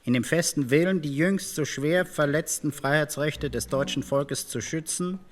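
An actor playing a formal, precise committee delegate asking probing questions in a radio drama. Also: male voice, a little high, moderate pace, slight background noise, natural voice. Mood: questioning, pointed. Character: probing male committee delegate, formal post-war politician. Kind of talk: radio drama re-enactment of a parliamentary debate.

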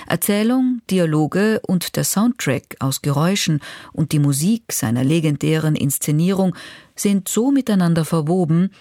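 A woman's voice: fairly low pitch, expressive, normal speed, mellow, dry recording. A woman presenting a radio magazine, reading scripted narration into a close studio microphone.

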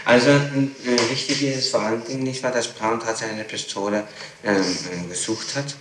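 A man questioning someone as a prosecutor, deliberate and insistent, repeating his points. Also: mid-range voice, steady tone, fairly bright tone. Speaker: public prosecutor. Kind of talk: interrogation, questioning a witness. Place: echoey room. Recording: echoey, noisy 1960s tape recording.

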